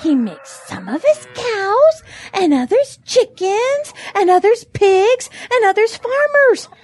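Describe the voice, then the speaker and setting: A gentle, pious hen in an audio drama. The voice is extremely high and very lively in its swoops, earnest and preachy, recorded close and dry. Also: female voice, slow, no room sound, full character voice. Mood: earnest, preaching.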